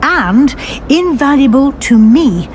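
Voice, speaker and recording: A stern, wry schoolmistress witch with a British accent. Her voice sits fairly high and her delivery is precise and brisk, game dialogue over faint ambient noise. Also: lively intonation, darkish tone. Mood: brisk, businesslike. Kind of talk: scripted video game dialogue.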